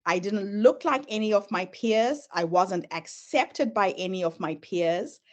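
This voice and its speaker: A woman's voice: mid-range voice, expressive, measured pace. A middle-aged woman whose first language is Shona, speaking with a Zimbabwean accent tinged with American, giving an earnest presentation and sharing her own story as she moves through slides. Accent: Zimbabwean, mixed with American. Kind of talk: presentation talk.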